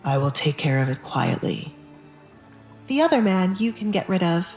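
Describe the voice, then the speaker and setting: A woman voicing a possessive, quietly unhinged young nobleman in an audio romance drama, low-toned and threatening. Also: very animated, normal speed, soft background music. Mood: cold, protective.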